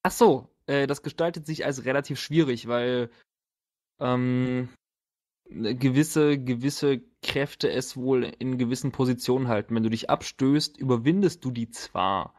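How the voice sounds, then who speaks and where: A man acting as game master, narrating and bantering casually around a tabletop game with halting, hesitant phrasing. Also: mid-range voice, darkish tone.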